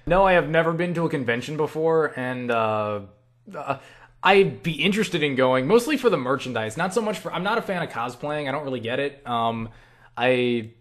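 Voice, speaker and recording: A man's voice: fairly high pitch, moderate pace. A young man answering viewer questions in a casual, rambling, self-deprecating way, as an online creator. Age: young adult. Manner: self-deprecating, animated asides with acted-out quotes.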